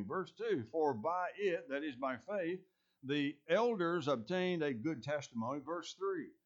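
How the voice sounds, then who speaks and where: A man preaching a sermon with a scratchy voice, talking earnestly and often restarting his phrases. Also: a little high. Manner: earnest, with many restarts and ums. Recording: dull lo-fi recording.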